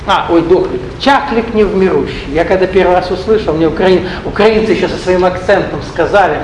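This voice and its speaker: A man's voice: sits high, brisk. A man giving a spiritual lecture to a live audience, rambling, with joking asides and questions put to the listeners. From Russian-speaking, not Ukrainian. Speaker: spiritual teacher. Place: hall with an audience. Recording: echoey room with faint noise.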